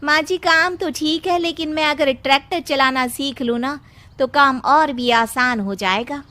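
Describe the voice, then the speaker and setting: A woman's voice: high voice, measured pace, sharp tone, voice pushed up. A woman voicing a dutiful, determined young village daughter-in-law in a cartoon story, respectful and earnest.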